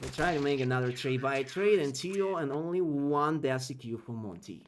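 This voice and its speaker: A man casting an esports match in non-native English, giving fast play-by-play that climbs during the action and chatting with viewers between rounds. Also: a little hiss or hum. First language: not English. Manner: rapid, excitable calls that climb in pitch during action. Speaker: esports caster. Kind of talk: solo play-by-play commentary with chat asides.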